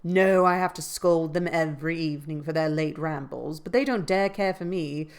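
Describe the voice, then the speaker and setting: A woman voicing an old housekeeper who talks in a brisk, fussing, exclaiming way. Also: sits low, smooth. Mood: chatty.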